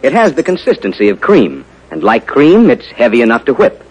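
A man with an American accent, a polished, formal 1950s radio announcer delivering sponsor pitches. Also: very animated, a little fast, creaky, a little mellow, husky, poor audio. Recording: vintage 1950s radio broadcast.

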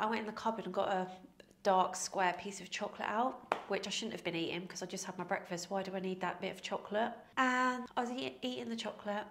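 Chatty woman with a stuffy nose. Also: mid-range voice. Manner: chatty, self-mocking, laughs often. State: nasal from a head cold. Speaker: fitness vlogger. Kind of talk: casual to-camera Q&A. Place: small indoor room with plants. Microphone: lapel mic.

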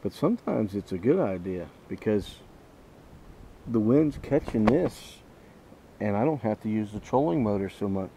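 A man's voice: fairly low pitch, lively intonation, normal speed, dark tone, poor audio. A man giving casual, folksy running commentary while fishing from a small boat, as if to himself and his viewers. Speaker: fishing vlogger. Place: outdoors on a small boat on a lake. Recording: faint outdoor boat and water noise. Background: boat and water noise.

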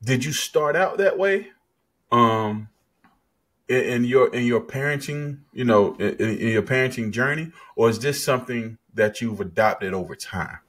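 A man's voice: mid-range voice, expressive, a little slow, a bit bright. A man hosting a podcast over a video call, laid-back and teasing, with lots of agreeing. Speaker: podcast host. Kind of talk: casual podcast conversation. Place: home studio, indoors. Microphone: headset on video call.

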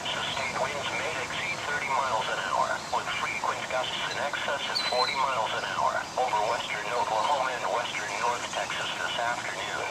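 A computer-generated man's voice of medium pitch delivers a scripted weather bulletin. It is measured and impersonal, with no conversational touch. It plays through a radio, with heavy noise all around it. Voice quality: synthetic text-to-speech voice. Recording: noisy weather radio, captured by camera. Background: loud steady noise.

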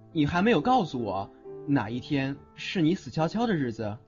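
A man acts a young audio-drama charmer, teasing yet protective, with a widely swinging pitch. The sound is a little dull, with soft music behind. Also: big pitch swings, slow. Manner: playful, teasing. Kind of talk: Chinese audio drama acting.

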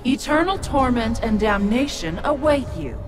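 A woman's voice: fairly high pitch, very animated, moderate pace, over music. A woman voicing an ancient, wicked demon witch in a video game, taunting and haughty. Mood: wicked, scornful. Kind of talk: video game villain acting.

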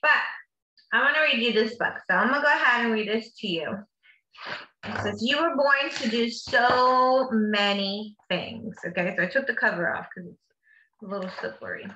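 A woman's voice: a little high, normal speed, bright, forceful tone, dull audio, slight background noise. A woman reading a picture book aloud to children like a teacher, with encouraging, simply worded asides in between. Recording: echoey room.

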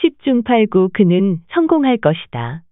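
Woman narrator for a phrase lesson, quick delivery, dry narrow-band sound. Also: medium pitch, sing-song, dry recording. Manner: reads each English phrase very slowly, Korean lines quickly. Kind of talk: reading phrases, then Korean translations.

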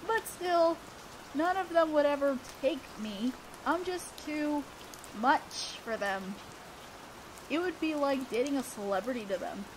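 High-pitched, shy schoolgirl, acted by a woman. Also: background noise. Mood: embarrassed, self-conscious. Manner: shy, halting, flustered. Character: awkward, earnest teenage girl student council president. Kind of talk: romantic audio roleplay monologue.

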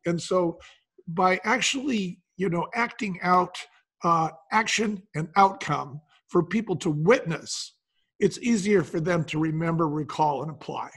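A man's voice: high-pitched, a little slow, a bit bright, slightly rough. A man talking conversationally as an interview guest, explaining things in an illustrative way. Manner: explanatory, acts out imagined quotes. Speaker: podcast guest, business founder.

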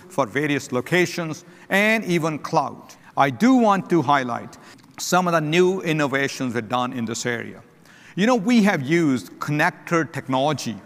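A man's voice: on the high side, a bit bright. Man giving a keynote in a big hall. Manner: throat-clearing, short declarative phrases. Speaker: company CEO giving a keynote. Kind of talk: keynote presentation. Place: large conference stage. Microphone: headset mic. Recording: long reverb of a large hall.

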